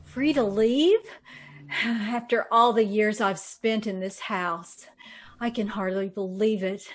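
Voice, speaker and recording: A woman playing a vain, intense woman in an old-time radio drama, speaking deliberately with dramatic pauses. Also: medium pitch. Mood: wistful, scheming. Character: vain, intense woman reborn with a beautiful new body.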